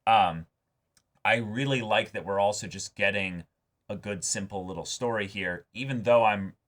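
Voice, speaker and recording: A man talks through his opinions in casual commentary. His voice is on the low side and has a bright, forceful edge. He is animated and likes to slip into imagined dialogue as he explains. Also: moderate pace. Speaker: co-host of a reaction show.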